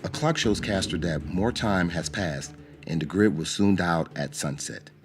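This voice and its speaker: Male narrator, deep register, scripted radio drama storytelling over a music bed. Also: normal speed.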